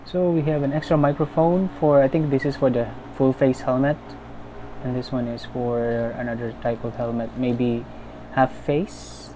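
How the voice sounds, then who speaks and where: A man's voice: mid-range voice, fairly even intonation, normal speed, warm tone. A man giving casual, unscripted unboxing commentary, thinking aloud in a tentative way. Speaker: unboxing video maker. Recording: clearly noisy background.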